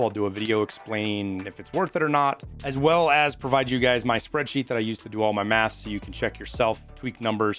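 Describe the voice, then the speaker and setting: A man's voice: fairly low pitch, fairly bright tone. Casual gaming news man over music. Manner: informal, conversational, explanatory. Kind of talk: casual video game news commentary. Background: background music.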